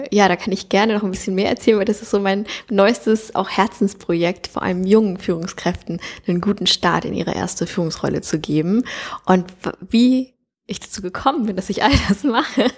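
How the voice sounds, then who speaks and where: A woman in her thirties from Germany, a guest telling her life story in a relaxed, chatty way with many fillers, recorded in a coworking space. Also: medium pitch, moderate pace, breathy edge. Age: 30s. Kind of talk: conversational interview.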